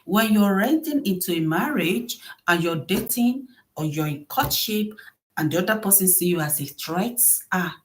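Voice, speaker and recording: A soft-voiced middle-aged woman hosting a podcast, giving earnest solo advice on marriage and often repeating key phrases for emphasis. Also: medium pitch. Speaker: podcast host.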